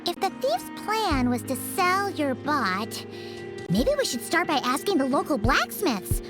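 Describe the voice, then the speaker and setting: Chirpy, blunt young game girl, very high and lively, music underneath. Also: unhurried. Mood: thoughtful. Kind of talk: scripted game dialogue. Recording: music behind the voice.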